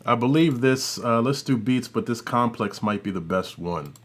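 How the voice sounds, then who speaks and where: A man hosting an audio software tutorial, talking informally and thinking aloud as he works through a demo. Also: medium pitch, expressive, normal speed, over loud music. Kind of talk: casual demo commentary.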